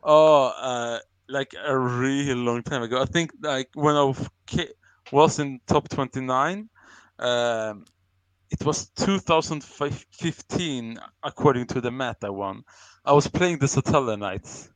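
A man's voice: medium pitch, unhurried, dry recording, rough recording. A young adult man from Sweden, co-hosting a casual podcast chat, telling rambling stories about himself with plenty of laughs and self-mockery. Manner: rambling storyteller, self-mocking, laughs often. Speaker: podcast co-host.

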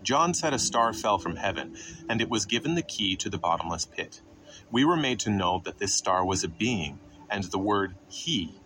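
A man's voice: fairly low pitch, big pitch swings, moderate pace, fairly bright tone, a little hiss or hum, poor audio. A man narrating scripted Bible exposition in a didactic way, posing questions and then answering them. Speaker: Bible teacher narrating a podcast.

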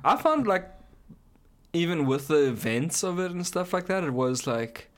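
A man co-hosting a podcast, chatting casually with swearing and telling anecdotes in an animated way, acting out quotes. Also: fairly high pitch, normal speed. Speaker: podcast co-host.

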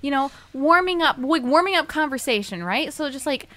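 A woman's voice: high voice, expressive, a little fast, sharp tone, no room sound. A woman hosting a casual podcast, chatty and rambling, often correcting herself mid-sentence. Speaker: podcast host.

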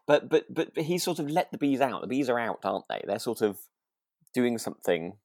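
A British man co-hosting a relaxed, casual chat, speaking hesitantly and in a gently encouraging way. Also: medium pitch, a little fast, dry recording, clean studio sound. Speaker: podcast co-host.

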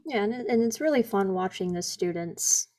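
A woman's voice: medium pitch, expressive, normal speed, warm tone. A woman co-hosting an educational podcast, chatting casually about her teaching with frequent "you know"s. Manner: conversational, with fillers like "you know". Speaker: podcast co-host, Latin teacher.

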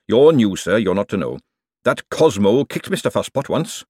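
A man's voice: lowish voice, very animated, normal speed, dark tone, husky, dry recording. A man voicing a nervous, servile male chef in an audiobook, hesitant and deferential. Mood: earnest. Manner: hesitant, servile, repeatedly saying "sir". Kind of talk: audiobook character dialogue.

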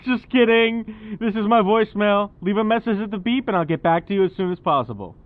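An actor playing a playful friend's prank voicemail greeting through a telephone filter in an audio drama. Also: male voice, sits high, brisk. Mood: playful, joking. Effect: telephone speaker filter. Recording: tinny phone voicemail line.

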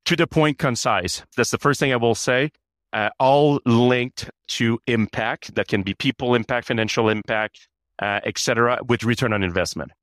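A man talks as a guest in a business interview. He is earnest and often repeats words as he restarts a thought. His voice sits at a middle pitch, and the room sound is dry and close. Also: moderate pace, a bit bright, no room sound. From Canada. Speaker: guest, chief people officer.

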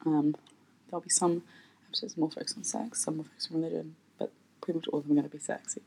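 A woman's voice: low-pitched, little pitch movement, fast, gravelly, mellow, slight background noise. A woman hosting her own podcast in an unscripted, hesitant chat, with lots of ums and light laughs. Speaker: podcast host.

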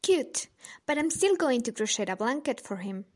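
A woman playing a caring, gentle, teasing young woman in an audio drama. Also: on the high side, very animated, normal speed.

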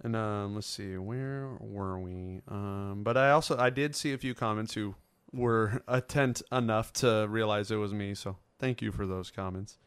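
A man in his twenties hosting a casual chat, telling stories in an animated, joking way. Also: fairly low pitch, unhurried, hardly any pauses, clear, resonant tone, no echo. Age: 20s. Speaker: host of a fan sports show.

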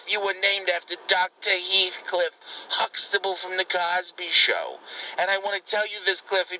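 A man's voice: high-pitched, bright, forceful tone, heavily acted, low-quality recording. A man doing a fussy gossiping old granny. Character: rambling scandalized old grandmother leaving voicemail. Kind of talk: comedic phone message sketch.

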